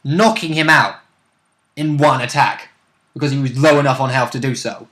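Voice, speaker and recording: A man's voice: medium pitch, normal speed, bright, forceful tone. A man co-hosting a gaming vidcast, chatting casually and analytically about game rules.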